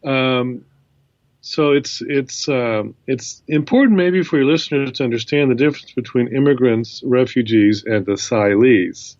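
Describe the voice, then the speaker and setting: A man answering as an interview guest, explaining his points in a measured way. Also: medium pitch, a little mellow, no room sound, muffled recording. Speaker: guest professor.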